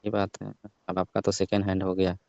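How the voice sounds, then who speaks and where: A man's voice: low voice, monotone, fairly quick, gravelly, a little mellow, no echo. A young man chatting casually on the phone, prodding with persistent, insinuating questions. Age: young adult. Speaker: caller in a comic phone call. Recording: dry, dull lo-fi phone-call audio.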